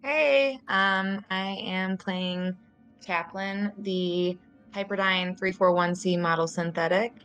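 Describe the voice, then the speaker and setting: A woman's voice: mid-range voice, fairly even intonation, slow, fairly bright tone, clear, resonant tone, faint music behind. A woman who plays tabletop games, casually introducing herself and her character. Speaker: tabletop game player.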